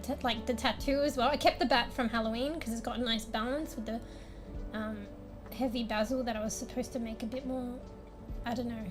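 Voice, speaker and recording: A young woman streamer, a little raspy today, chatting casually at home into a desk mic, rambling, laughing a lot and swearing now and then. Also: fairly high pitch. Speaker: game streamer. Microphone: desk microphone with fan blowing on it. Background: soft background music.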